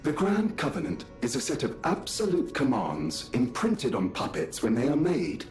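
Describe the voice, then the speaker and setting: A man's voice: medium pitch, huge pitch swings, moderate pace, a little mellow. A man playing a polite puppet butler in a video game, formal, courteous and precise in his wording. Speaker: hotel butler. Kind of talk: video game character acting. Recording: roomy echo, faint game music.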